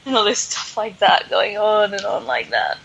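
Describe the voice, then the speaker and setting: A woman's voice: medium pitch, big pitch swings, normal speed, vocal fry, a bit bright, slightly rough, muffled recording. A woman hosting a podcast, casually recapping a story in a sarcastic, exasperated way. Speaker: podcast host.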